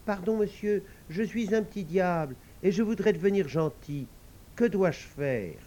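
A man's voice: sits high, lively intonation, a little slow, mellow, muffled recording, a little hiss or hum, voice pushed up. A man voicing a small, polite young male devil, earnest and timid. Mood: earnest pleading.

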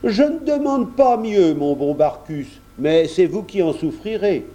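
A man's voice: high voice, lively intonation, measured pace, warm tone, pitched above the performer's own voice. A man voicing the gracious, generous master of a wealthy house, genteel and obliging, in a storybook reading. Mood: benevolent.

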